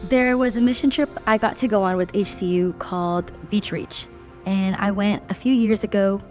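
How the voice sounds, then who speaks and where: A woman's voice: medium pitch, moderate pace, darkish tone, faint music behind. A young woman in her twenties giving an earnest, heartfelt testimony for a tribute video. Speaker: student interviewee.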